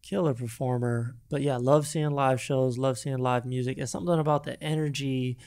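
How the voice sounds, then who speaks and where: A man's voice: medium pitch, fairly even intonation, moderate pace, a little mellow, clean tone. A man co-hosting a studio podcast, chatting in a laid-back, slangy, enthusiastic way. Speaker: podcast co-host. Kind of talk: casual chat.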